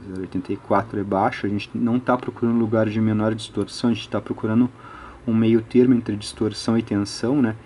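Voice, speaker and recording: Brazilian man, mellow relaxed tone, unscripted technical tutorial, methodical, frequent tag questions, light background noise. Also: lowish voice, fast talker. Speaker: electronics hobbyist presenter.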